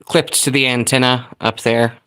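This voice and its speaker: A man casually chatting while tuning a shortwave radio, reading viewer comments and thinking aloud in a relaxed, rambling way. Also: medium pitch, moderate pace, slight background noise.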